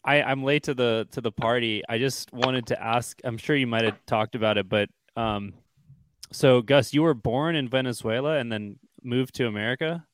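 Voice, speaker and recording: A man's voice: medium pitch, moderate pace, no room sound. A man co-hosting a podcast who asks questions hesitantly, with many restarts. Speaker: podcast co-host. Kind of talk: casual podcast interview.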